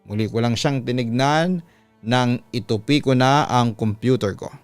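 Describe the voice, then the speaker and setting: A man with a Filipino accent reading a listener's letter as a radio-style storyteller, smooth and resonant, in a measured, deliberate way. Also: mid-range voice, dry recording. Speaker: radio announcer and story channel host. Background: background music.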